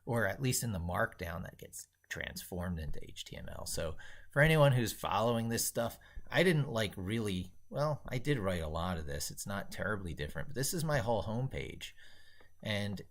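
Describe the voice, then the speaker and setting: A man hosting a casual tech livestream, thinking aloud in a rambling, emphatic way with a gravelly, husky voice. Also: fairly low pitch, animated, moderate pace. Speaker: livestream host.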